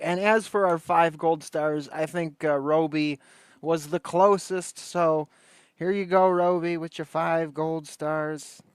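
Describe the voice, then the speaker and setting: A dry, close-miked recording of a man with a slightly high voice. He hosts a livestream, chatting informally and rambling along in slang. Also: clean tone, no echo. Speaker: livestream host. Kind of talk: casual livestream chat.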